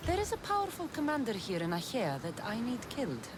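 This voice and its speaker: A woman's voice: on the high side, wildly swooping pitch, brisk, background noise. An actress playing a tough, sardonic young Greek mercenary woman in a video game cutscene, dry and sceptical in manner. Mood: exasperated.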